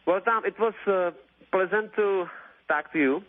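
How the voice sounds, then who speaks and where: Male radio caller, late 30s, slightly high pitch, gushing and repetitive, thin telephone sound. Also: normal speed, no room sound. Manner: effusive, rambling, repetitive, mildly profane. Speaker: phone-in caller. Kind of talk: casual, opinionated radio call-in chat. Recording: tinny phone line on radio.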